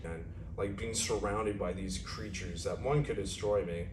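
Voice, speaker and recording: A nineteen-year-old man in an interview, speaking in a wry, measured way. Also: low-pitched, steady tone. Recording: echoey, distant mic with ambient noise.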